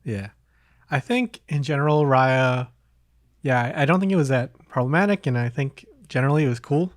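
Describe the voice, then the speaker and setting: A man with a mid-pitched voice talks casually, like a podcast host. He feels his way through thoughts aloud, rambling and hesitant, in a very dry recording with no room sound. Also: a little fast, dry recording. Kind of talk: casual chat.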